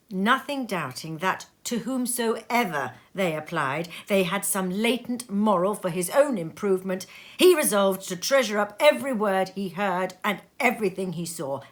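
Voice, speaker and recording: A woman narrating a classic story aloud in an expressive storybook style, recorded in a sitting room at home. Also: mid-range voice. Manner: animated, theatrical character voices in dialogue.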